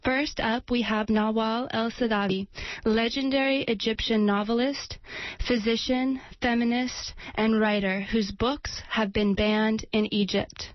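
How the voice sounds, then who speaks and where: A radio host, she speaks in a fairly high voice and reads her introductions with a formal touch. The sound is dry and slightly muffled, with little room around it. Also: no room sound. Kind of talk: live radio interview.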